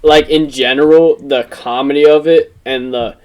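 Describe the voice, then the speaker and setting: A young man talks as a podcast co-host among friends. His voice sits at an ordinary male pitch. He is casual but insistent, leaning on his points and repeating phrases as he builds an argument. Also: fairly even intonation, normal speed, dull audio, slight background noise. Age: young adult.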